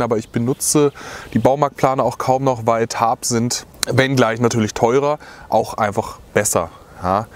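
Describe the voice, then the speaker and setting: A young man vlogging outdoors from under a tarp, chatty and rambling, with lots of filler words, talking to a close camera. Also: medium pitch. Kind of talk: casual chat to camera.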